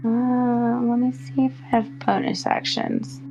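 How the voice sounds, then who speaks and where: A woman's voice: fairly high pitch, steady tone, measured pace, gravelly, warm tone, highs cut off. Questioning woman at a gaming table, music behind. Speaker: tabletop game player. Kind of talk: casual game-table chat.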